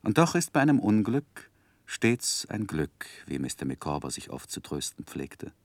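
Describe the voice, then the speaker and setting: An actor playing an earnest, reflective young man who narrates his own youth in a measured, literary 1960s German radio drama style. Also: deep voice, big pitch swings, no room sound, exaggerated voice. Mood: wistful. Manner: measured, literary, deliberate phrasing. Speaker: first-person narrator.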